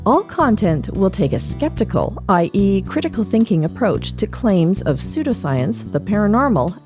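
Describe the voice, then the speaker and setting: Animated woman hosting a podcast. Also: medium pitch. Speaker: podcast host. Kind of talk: scripted podcast narration and interviewing.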